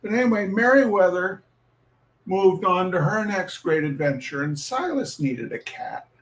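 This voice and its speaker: Guy hosting a reading night, really slow n halting, bit high voiced, wry storyteller type. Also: lively intonation, low-quality recording. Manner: deliberate, halting, with dramatic pauses and repetition. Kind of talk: informal spoken introduction with personal storytelling.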